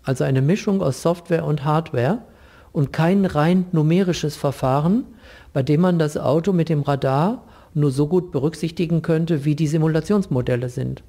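A male professor gives interview answers in long, careful sentences. His voice is creaky and soft-edged, pitched a little high for a man. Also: moderate pace.

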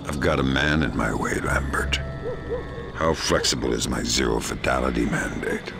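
A man playing a dry, menacing veteran covert agent in a video game, deadpan and sardonic. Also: very deep voice, moderate pace, vocal fry. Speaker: lead character. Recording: loud music behind the voice.

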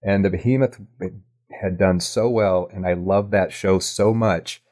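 Male podcast guest, low-pitched, thoughtful stop-and-start talker in a casual interview. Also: steady tone, unhurried, darkish tone. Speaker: podcast guest, audio drama writer and producer.

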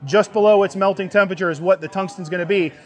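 A middle-aged man with a fairly high voice teaches a practical class to a group. He explains things in a plain, folksy way and checks that people follow. He is recorded outdoors under a tent. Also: fairly even intonation, fast talker. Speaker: welding instructor. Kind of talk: live hands-on class instruction to a group. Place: outdoors under an open tent canopy before a seated group.

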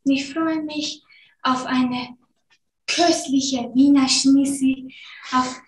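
Girl as a high, slow, chirpy virus speaking broken German, heard from far off in a roomy room. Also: steady tone, a little hiss or hum. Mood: cheerful. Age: teens. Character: cheerful foreign-sounding virus touring the world. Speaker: student performer. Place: large school classroom. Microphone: far camera. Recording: roomy, distant classroom recording.

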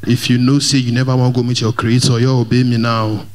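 A young man with a Nigerian Pidgin English accent doing lively stand-up comedy in a large church hall, speaking into a handheld mic and mixing Pidgin with English as he works the crowd. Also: mid-range voice, fairly quick. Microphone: handheld microphone.

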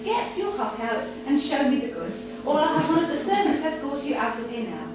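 A domineering Victorian lady, acted by a woman reading aloud in a live story reading. She commands bluntly and haughtily in a high voice. The recording is distant and full of echo. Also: sing-song, fairly quick. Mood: imperious, demanding. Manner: imperious, blunt, coolly commanding. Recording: echoey, distant camera mic, room noise.

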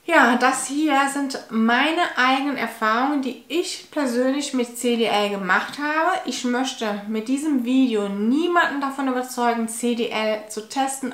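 Woman, forties, nasal with a cold, tired halting talk, higher voice, roomy echo. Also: a bit bright. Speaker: vlogger. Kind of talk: personal health vlog. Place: home living room, indoors. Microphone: fixed camera at medium distance. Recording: echoey room.

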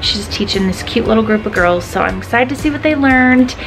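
Upbeat high-voiced mom vlogging. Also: female voice, expressive, a little fast, music in the background, rough recording. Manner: chatty and upbeat, talking straight to viewers. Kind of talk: casual vlog chat to camera. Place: home, car and backyard pool. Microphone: handheld camera at arm's length.

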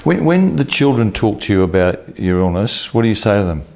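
A man interviewing a guest, asking measured and direct questions. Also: lowish voice, sing-song, creaky, a little mellow. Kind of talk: television interview.

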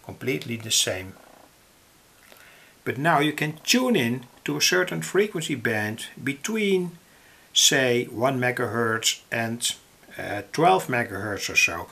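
Slow-talking Dutch-accented man, gravelly and husky. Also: mid-range voice, sharp tone. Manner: halting, deliberate, explanatory. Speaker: electronics hobbyist presenter. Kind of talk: unscripted tutorial explanation. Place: indoors, at a table.

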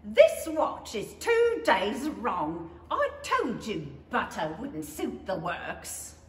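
Lady doing rude nutty hatter, radio play style, slow and swoopy, echoey hall. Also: medium pitch, huge pitch swings. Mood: testy. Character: eccentric, rude, mad Hatter at a tea party. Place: stage in an empty theatre hall. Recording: echoey hall from far off, faint noise.

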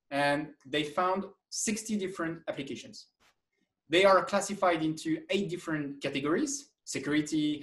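A man gives an enthusiastic slide presentation over a video call, with lively emphasis and occasional restarts. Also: fairly high pitch. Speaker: conference speaker. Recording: echoey room, distant mic.

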